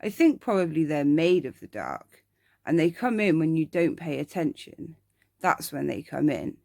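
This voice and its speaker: A woman's voice: deep voice, big pitch swings, moderate pace, creaky, darkish tone, no room sound, studio quality, heavily acted. A woman voicing a precocious, earnest little boy, matter-of-fact and knowing, in an ASMR bedtime story. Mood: solemn. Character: precocious, earnest little boy who loves scary monsters.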